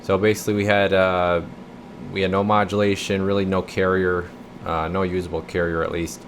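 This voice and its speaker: A low-pitched man talks through repair work in a loose, folksy way. He thinks aloud and rambles informally, like a technician presenting his own video. A steady hum sits faintly behind his voice. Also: a little fast. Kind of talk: casual rambling repair walkthrough. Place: radio repair workshop.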